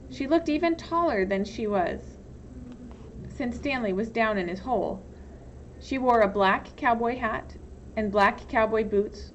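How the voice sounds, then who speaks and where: A woman's voice: on the high side, highs cut off, slight background noise. A woman reads a children's novel aloud as scripted storybook narration. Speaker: teacher reading aloud.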